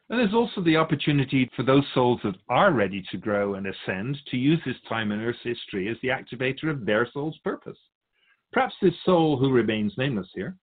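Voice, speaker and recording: A man speaking as a spiritual guide, answering in a measured, assured, didactic way in philosophical conversation. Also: medium pitch, phone-quality sound.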